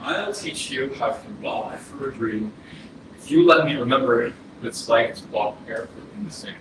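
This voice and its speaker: A man playing the wistful ghost of a fourteen-year-old boy in a staged reading, halting and poetic with pauses. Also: medium pitch, natural voice. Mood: wistful, hesitant. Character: dead fourteen-year-old boy's ghost, dreamy, wistful would-be filmmaker. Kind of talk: staged reading of a play, monologue. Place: indoor arts center stage, recorded from afar. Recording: very echoey, distant hall, clear noise, processed.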